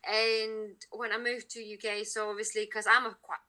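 Lithuanian accent woman, super bright voice, chatty guest, lots of "like, you know". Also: medium pitch, level tone, normal speed. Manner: chatty, many fillers and restarts. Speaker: podcast guest, nutritionist. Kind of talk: casual interview talk.

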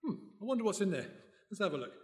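An actor playing an eager, easily distracted son helping an investigation, chatty and flustered, in a radio play. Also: male voice, high voice, big pitch swings, very fast, darkish tone. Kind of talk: radio play acting before a live audience. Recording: echoey hall, live stage recording.

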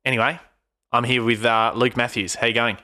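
Australian man, podcast co-host, bright edgy tone, teasing, laughs a lot. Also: mid-range voice, a little fast. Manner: teasing, laughs often, relaxed. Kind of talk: casual podcast chat.

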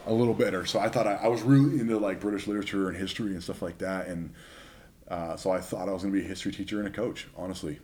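Deep-voiced chatty young man. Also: fairly even intonation, racing, a little mellow. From Northern California. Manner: chatty storyteller. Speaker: podcast guest. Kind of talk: casual chat.

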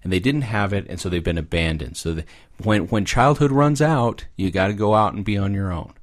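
A man's voice: fairly low pitch, a little fast. A man speaking as a guest expert, explaining fairy tales in a conversational way with little acted asides. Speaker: guest expert, psychology professor.

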